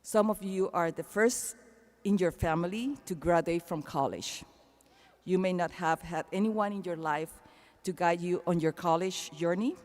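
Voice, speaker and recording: A woman's voice: on the low side, normal speed, a little mellow. Panamanian-accented woman speaking in an echoing arena. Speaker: commencement speaker. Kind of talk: personal commencement speech. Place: large indoor arena, amplified speech. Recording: long echo of a large arena.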